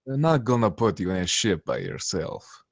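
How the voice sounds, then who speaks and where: Man acting space-villain boss, lowish voice, offhand, agreeable, conversational, dry close sound. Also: wildly swooping pitch, normal speed, gravelly, no echo, full character voice. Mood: offhand, conceding. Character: casual, agreeable male terrorist leader in space comedy. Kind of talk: table read acting.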